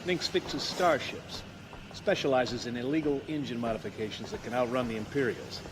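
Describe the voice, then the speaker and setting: Actor as a cocky, roguish space smuggler. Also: male voice, medium pitch, normal speed, background noise, lightly acted. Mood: cocky, easygoing. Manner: brash, wisecracking, slangy. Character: cocky, roguish male smuggler and starship pilot. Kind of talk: space-opera audio drama acting.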